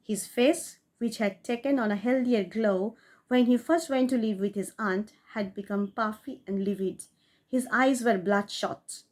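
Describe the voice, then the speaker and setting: A woman's voice: fairly high pitch, measured pace, a little mellow. Woman narrating a short story. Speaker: audiobook reader.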